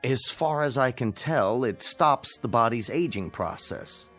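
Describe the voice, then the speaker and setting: An actor in a radio drama playing a stern, vengeful scientist with a measured, formal, sardonic delivery. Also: male voice, mid-range voice, lively intonation, fairly bright tone. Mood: grave, measured. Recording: music bed under the voice.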